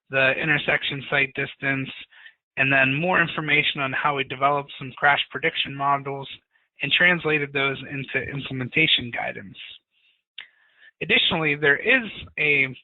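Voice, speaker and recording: A man presenting a technical webinar in an informal, somewhat monotonous way. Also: a little high, dry recording. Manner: informal, self-described monotonous. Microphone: webinar call audio. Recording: tinny phone-like webinar audio.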